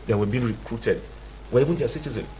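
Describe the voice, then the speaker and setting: A man's voice: medium pitch, quick pace, warm tone, poor audio. A man with a Nigerian accent speaking as a guest in a conversational radio interview, emphatic and given to repeating himself. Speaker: studio guest, tech entrepreneur and CEO.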